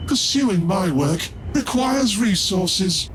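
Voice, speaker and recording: Theatrical, swooping scheming tech-priest. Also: male voice, high-pitched, expressive, background noise. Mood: pleading, evasive. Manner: theatrical, formal, drawn-out delivery. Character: scheming heretical tech-priest scholar, pleading yet grandiose. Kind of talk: video game voice acting.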